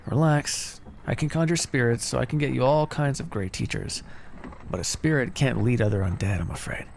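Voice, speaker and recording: A man playing a kindly, encouraging necromancer in an ASMR fantasy roleplay, speaking in a gentle, reassuring, conversational way. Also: mid-range voice, moderate pace, vocal fry, slight background noise, natural voice. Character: kindly, encouraging necromancer master, earnest and casual.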